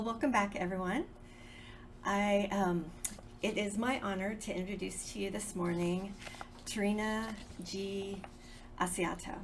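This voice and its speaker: A woman's voice: medium pitch, vocal fry, slight background noise, low-quality recording. A woman, the program coordinator, formally introducing a speaker in an admiring tone with some ums.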